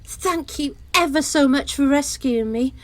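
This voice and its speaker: A woman's voice: high-pitched, unhurried. A woman playing a sweet, polite, grateful golden goose in an audio pantomime.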